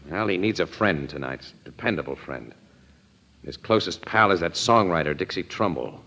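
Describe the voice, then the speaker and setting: A deep-voiced, shrewd American crime photographer. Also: male voice, animated, moderate pace, pushed and bright, highs cut off, heavily acted. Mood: calm, decisive. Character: shrewd, steady male crime photographer, loyal to friends. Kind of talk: old-time radio crime drama acting.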